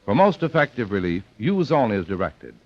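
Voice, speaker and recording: A man's voice: lowish voice, big pitch swings, brisk, no room sound. An American man as a polished mid-century radio announcer, reading credits and sponsor copy emphatically. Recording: muffled old radio broadcast.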